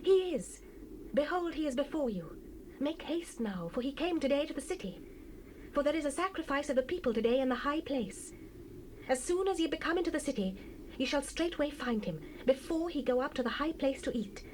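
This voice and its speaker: High-voiced eager young maiden. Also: female voice, lively intonation, a little fast, darkish tone, rough recording. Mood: eager, helpful. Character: eager young maiden at the well giving directions. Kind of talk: dramatized scripture reading. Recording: hissy 1970s cassette rip.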